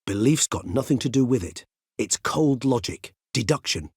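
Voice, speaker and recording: A man's voice: medium pitch, expressive, darkish tone, husky, no room sound, studio quality. An actor voicing a cultured older male vampire in a video game, speaking formally and precisely. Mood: measured, explaining. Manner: erudite, formal, measured, wordy phrasing. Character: cultured, mild-mannered older male vampire, learned and courteous. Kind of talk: theatrical video game dialogue.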